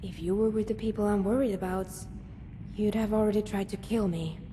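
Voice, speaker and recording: A woman playing a guarded, wary young scavenger in a video game, speaking in a measured, dry way. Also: mid-range voice, steady tone, lightly acted. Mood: wary, probing. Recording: long reverb, clear background noise.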